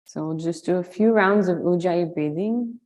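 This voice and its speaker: A woman leading a guided meditation, giving slow, soothing instructions with long pauses. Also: fairly low pitch, darkish tone, poor audio. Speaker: meditation and yoga teacher.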